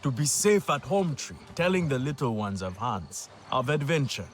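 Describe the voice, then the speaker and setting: A man's voice: medium pitch, very animated, moderate pace, a little hiss or hum, lightly acted. A man playing a proud, boastful young alien hunter with a cocky, grandiose manner in a game cutscene, music behind. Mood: boastful, dismissive. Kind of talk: video game cutscene acting.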